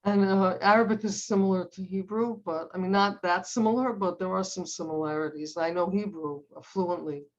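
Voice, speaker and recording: A woman's voice: fairly low pitch, fairly even intonation, normal speed, few pauses, highs cut off. Older woman telling long rambling stories. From United States (Cleveland, Ohio). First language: Hungarian. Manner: rambling, storytelling, many restarts. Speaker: drawing class participant. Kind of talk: casual chat.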